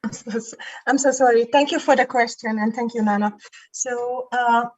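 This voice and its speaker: A woman, an election candidate, answering calmly in an online panel debate, pausing often with fillers. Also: a little high. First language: not Finnish. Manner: measured, with many fillers. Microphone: video call. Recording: muffled video call audio.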